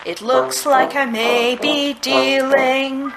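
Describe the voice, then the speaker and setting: Woman as broke androgynous game detective, high voice, dry and musing, loud music playing behind. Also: little pitch movement, slow pace, gravelly, heavily acted. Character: wry, down-on-their-luck androgynous private detective. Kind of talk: musical detective adventure game acting, part sung.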